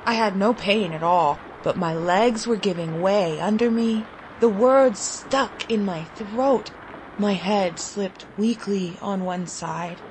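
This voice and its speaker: Slow, deliberate woman reading a story. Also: mid-range voice, a little hiss or hum, low-quality recording. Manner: deliberate, expressive, with long pauses. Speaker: audiobook story reader. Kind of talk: dramatic literary short story narration.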